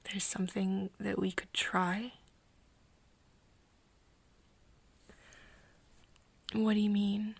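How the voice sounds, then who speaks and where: A woman playing a caring, teasing young friend of a vampire in an ASMR roleplay, speaking softly and haltingly with whispery breath. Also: mid-range voice, little pitch movement, dry recording. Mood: tentative, curious. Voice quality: soft, breathy, often dropping into whispers. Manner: hesitant, halting, gently coaxing. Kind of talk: ASMR roleplay, intimate second-person acting.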